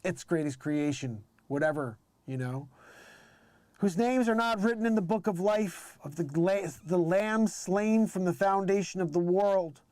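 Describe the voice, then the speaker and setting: A man with a slightly high-pitched voice hosts a live scripture read-along. He reads in an emphatic, declamatory manner and slips into casual, informal commentary. The sound is very dry, with almost no room echo. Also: a little slow, a little mellow. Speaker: live show host.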